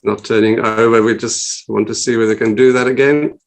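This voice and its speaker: A man's voice: fairly low pitch, steady tone, a little fast, creaky. South African man, hesitant, roomy distant sound. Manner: hesitant, many "uh" fillers. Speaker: visiting church leader presenting. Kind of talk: casual talk on a video call. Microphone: video call.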